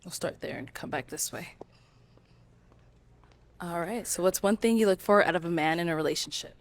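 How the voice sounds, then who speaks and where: A woman hosting a dating show, asking brisk, upbeat questions and announcing guests. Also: fairly low pitch, no echo.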